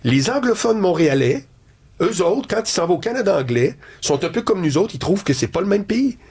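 A man with a Québécois accent hosting an interview, telling a story in a lively, conversational way. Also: sits high, fairly quick, husky. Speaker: interview host. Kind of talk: casual interview chat.

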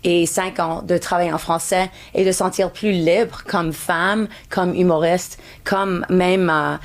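Anglophone woman comedian in French, lowish voice. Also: steady tone, takes it slowly. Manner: second-language French, mixes in English words, frequent restarts. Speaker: comedian guest. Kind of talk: casual interview chat.

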